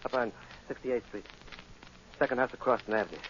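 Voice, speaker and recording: An American actor playing a tough but jumpy gangster on the run in 1940s radio style, slangy and blustering. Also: male voice, mid-range voice, a little fast, fairly bright tone, close to an everyday voice. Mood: nervous. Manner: slangy, blustering, stammering under pressure. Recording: dull, hissy 1940s radio recording.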